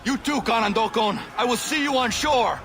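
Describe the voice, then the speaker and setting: Commanding captain, high and intense. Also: male voice, moderate pace. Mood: urgent, resolute. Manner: terse, formal commands. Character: commanding young male ship captain, determined and grave. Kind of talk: video game voice acting.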